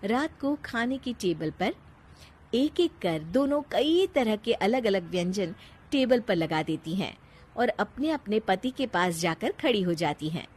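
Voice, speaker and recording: A woman narrating a scripted story in an animated, expressive way, with a teasing manner as she addresses the listener. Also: mid-range voice, a little slow, breathy edge.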